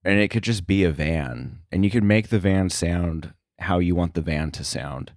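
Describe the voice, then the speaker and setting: A man's voice: deep voice, normal speed, darkish tone, no room sound, clean studio sound. A man talking casually as an interview guest, explaining his sound editing work in a thoughtful, somewhat hesitant way. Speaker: podcast guest, sound effects editor.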